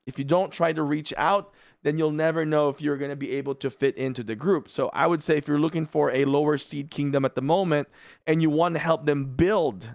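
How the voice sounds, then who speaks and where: A man's voice: on the high side, vocal fry, no room sound, clean studio sound. A man from the Philippines, whose first language is Filipino, giving casual, rambling commentary on a strategy game as a channel host. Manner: chatty, rambling, laid-back. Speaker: gaming YouTuber. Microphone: studio mic in a dry room.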